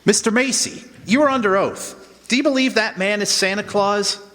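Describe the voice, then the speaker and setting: A man plays a pompous, indignant prosecutor in an old-style radio play. The voice is very high and sharp, pressing formally at the court, with the reverb of a hall around it. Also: normal speed. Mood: stern. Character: indignant, pompous prosecuting district attorney, a father. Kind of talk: old-fashioned radio drama acting. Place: live performance on a college campus. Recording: reverberant hall stage recording.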